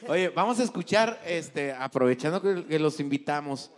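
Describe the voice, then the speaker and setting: A man's voice: fairly high pitch, animated, normal speed. A man hosting a casual, joking chat in a bar, full of crude banter. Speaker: host.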